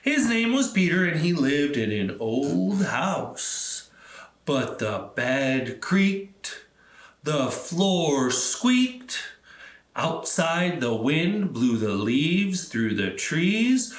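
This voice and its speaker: Man, children's storytime host, slow deliberate pace, lively pitch, encouraging, simple phrasing. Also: echoey room. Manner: encouraging, simple instructions addressed to young children.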